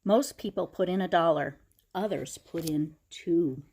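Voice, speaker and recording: A woman reading a picture book aloud to children in a dramatic, expressive way, with chatty explanatory asides. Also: on the low side, measured pace, husky.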